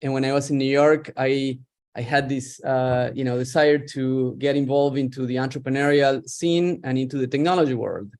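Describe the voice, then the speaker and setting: A man's voice: mid-range voice, steady tone, moderate pace, darkish tone. Middle-aged man, reflective. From Argentina. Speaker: guest, startup founder. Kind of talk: interview on a video call.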